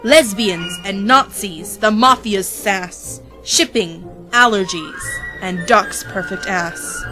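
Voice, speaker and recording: A woman reads a rhyming parody storybook as its narrator, slowly and theatrically. She is playful and dramatic, leaning on key words, with music underneath. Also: mid-range voice, sharp tone, low-quality recording. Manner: dramatic, playful, drawn-out emphasis on repeated words.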